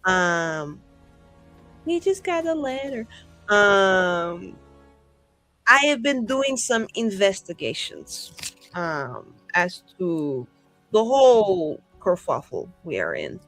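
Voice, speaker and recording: A woman improvises as a player in a tabletop roleplaying game, rambling along at an unhurried pace. Her talk is slangy, sweary and wry, with music faintly behind her. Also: mid-range voice, sing-song, a bit bright, dry recording. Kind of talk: casual improvised roleplay chat.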